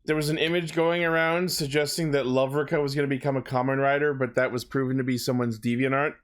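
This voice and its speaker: A man's voice: medium pitch, little pitch movement, fast talker. Casual man podcasting with friends. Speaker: podcast co-host. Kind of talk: casual chat about fan news.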